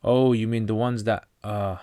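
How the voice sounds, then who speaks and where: A man's voice: fairly low pitch, fairly even intonation, moderate pace, dark tone, no room sound, studio quality. A man from North Pakistan, a native Pashto speaker, hosting a casual livestream Q&A. He reads out viewer questions and answers them plainly, often restarting his sentences.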